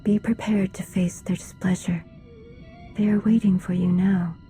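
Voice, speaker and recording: An actress playing a solemn, reserved alien woman in a video game, speaking in a measured, formal way. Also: mid-range voice, fairly even intonation, warm tone, faint music behind, lightly acted. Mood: grave, formal. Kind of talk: video game cutscene dialogue.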